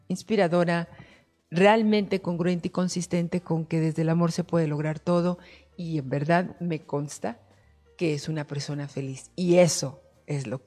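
Woman talk host, lower voice, emotive and affectionate. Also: a little mellow. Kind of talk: talk show interview.

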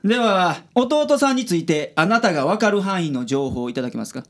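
A man's voice: high voice, animated, brisk. A man playing a grumpy, sardonic detective in his late thirties in a radio drama, with a Kansai accent. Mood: formal, pompous. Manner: gruff, sardonic, pompous with clients. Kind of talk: Japanese radio drama acting.

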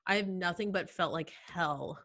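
Stuffy-nosed woman with a lowish voice. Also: normal speed, a bit bright. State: stuffy nose from allergies. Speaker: podcast co-host. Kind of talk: casual chat.

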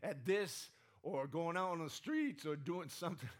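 A man's voice: a little high, lively intonation, moderate pace. A man preaching a conversational sermon, often asking the room "right?", his voice strained and sometimes cracking. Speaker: pastor.

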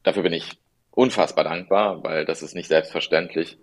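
A man being interviewed as a family lawyer on a podcast, speaking in a measured, earnest way with frequent ums and ahs. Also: sits low, steady tone. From born in Italy, raised in the Rhineland.

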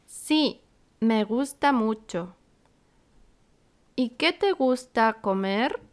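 Woman teacher; slow, carefully articulated lines, slightly high pitch. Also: lively intonation. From Santiago, Chile. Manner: clear, deliberate, carefully spaced phrasing. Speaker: podcast co-host, Spanish teacher. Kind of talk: slow, scripted beginner language lesson dialogue.

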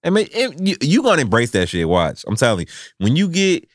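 A man in his thirties, chatting casually with friends in a laid-back, slangy way, telling animated stories. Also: mid-range voice, a little fast, no echo, studio quality. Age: 30s. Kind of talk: casual chat.

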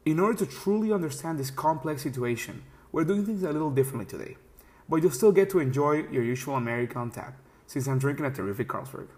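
A man's voice: a little high, animated, fast, darkish tone. A young man hosting a podcast, narrating scripted segments and chatting with his guests with friendly agreement. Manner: clear narrating with friendly back-channel agreement. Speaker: podcast host. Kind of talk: scripted narration and casual chat.

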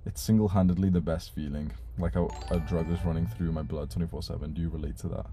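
A man's voice: extremely low pitch, fairly even intonation, fast, over music. A young man whose first language is Urdu, hosting a casual live stream and reading out donation messages in a laid-back way, swearing casually.

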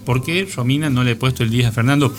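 A man's voice: mid-range voice, quick pace, faint music behind. An Argentine man hosting a casual comic radio show, teasing his colleagues with mock solemnity and crude jokes.

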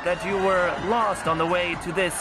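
A man's voice: high-pitched, steady tone, normal speed, very noisy, natural voice. A man playing an earnest, grandiose self-styled space captain in an audio comedy, speaking in a theatrical, commanding way. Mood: eager, insistent. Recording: voice under very loud music.

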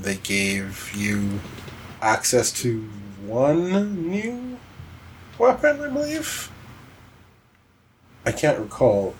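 Guy with a lowish voice just chatting games on a podcast, bit of noise behind him. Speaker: podcast co-host. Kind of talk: casual video game discussion.

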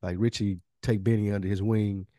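A man's voice: on the low side, flat delivery, fairly quick, mellow, dry recording, studio quality. A man co-hosting a podcast, talking informally as he thinks aloud. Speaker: podcast co-host. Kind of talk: casual chat.

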